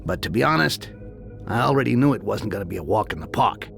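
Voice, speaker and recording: A man voicing a cynical, foul-mouthed goblin mercenary in a video game, gravelly and sardonic. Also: lowish voice, expressive, quick pace, a bit bright, faint music behind, heavily acted. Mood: grim, sardonic. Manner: sardonic, streetwise, crude. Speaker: character narrator.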